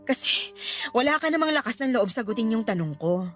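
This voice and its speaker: A woman's voice: fairly high pitch, animated, a little fast, soft background music, close to an everyday voice. A woman playing a long-suffering middle-aged girlfriend in a Tagalog radio drama, answering with dry retorts. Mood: fed up, defiant.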